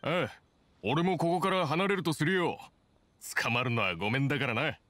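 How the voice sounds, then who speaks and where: An actor playing a calm, modest middle-aged man in an anime game, speaking in a casual, gentle, measured way. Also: fairly high pitch. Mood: wry. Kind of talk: Japanese anime game voice acting. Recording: music clearly behind the voice.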